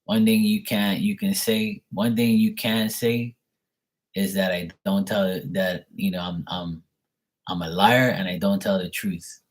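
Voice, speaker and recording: A man with a husky voice talking casually on a live tarot reading stream, blunt and opinionated, rambling and swearing freely. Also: sits low, fairly even intonation, a little slow.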